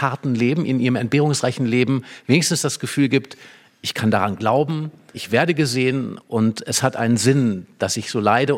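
A man in conversation, explaining a play as an expert guest with vivid storytelling and emphatic repetition. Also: mid-range voice.

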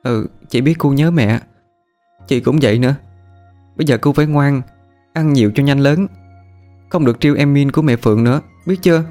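A man voicing a gentle older sister girl. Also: mid-range voice, a little fast, pauses often, soft background music, pitched above the performer's own voice, close to an everyday voice. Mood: soothing. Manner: gentle, soothing. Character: young girl, caring older sister, tearful.

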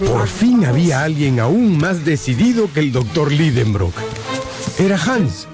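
Male radio-novel narrator over loud music. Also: fairly high pitch, big pitch swings, measured pace.

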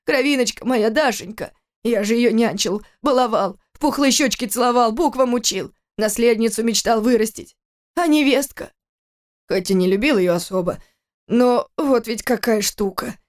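A man's voice: high voice, lightly acted. A woman voicing a stern, angry older father who scolds his grown son reproachfully in an audiobook. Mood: grieving, reproachful. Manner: forceful, reproachful, rhetorical questions.

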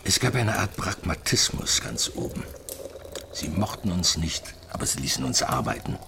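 A retired East German physicist in his sixties, bitter and lonely. His very low voice swings widely in melody as he reflects in short, clipped phrases. A faint noise sits behind this radio play recording. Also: sing-song, normal speed, gravelly, heavily acted. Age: 60s. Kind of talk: radio drama acting.

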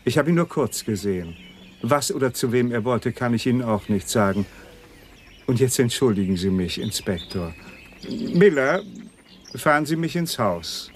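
Actor as a curt elderly gentleman. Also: mid-range voice, expressive, moderate pace, slight background noise, close to an everyday voice. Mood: curt, dismissive. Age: older. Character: curt elderly gentleman in a wheelchair, sanatorium resident. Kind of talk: German radio crime drama acting.

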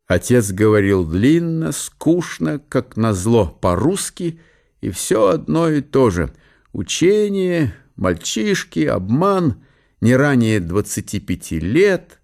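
A man's voice: mid-range voice, big pitch swings, slow pace, creaky, a little mellow. A man reading literary narration as the narrator of a radio drama.

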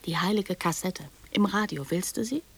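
An actress playing a naive, anxious, dreamy little girl in a radio drama, speaking slowly and plaintively with a breathy voice. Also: on the low side. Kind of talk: poetic radio drama acting.

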